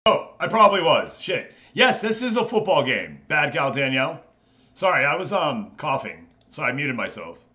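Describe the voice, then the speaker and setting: A man's voice: on the high side, sing-song, moderate pace, pushed and bright. A man hosting a game livestream, chatting casually with viewers and commentating on matches in an informal way.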